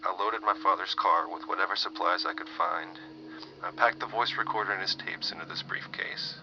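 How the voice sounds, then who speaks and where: Male actor as a deep-voiced, paranoid soldier whispering on a dull, hissing tape. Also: close to an everyday voice. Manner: tense hushed whisper. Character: paranoid young male army specialist on tape. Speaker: soldier recording a warning tape. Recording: muffled noisy tape recording.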